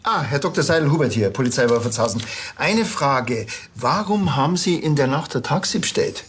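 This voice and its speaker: A man's voice: medium pitch, lively intonation, moderate pace, fairly bright tone, a little hiss or hum, close to an everyday voice. An actor playing a wry, laid-back male police officer with a dry joking manner in a TV drama.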